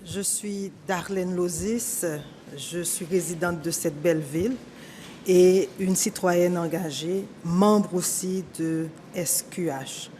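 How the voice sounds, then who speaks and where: A woman hosting a commentary show, speaking in a slow, deliberate way. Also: fairly low pitch, fairly even intonation, warm tone, slight background noise. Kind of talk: measured spoken commentary.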